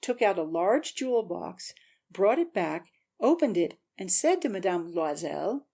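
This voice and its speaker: A woman's voice: lowish voice, big pitch swings, unhurried, vocal fry, dark tone, slightly rough. A woman narrator giving a scripted, dramatic audiobook reading.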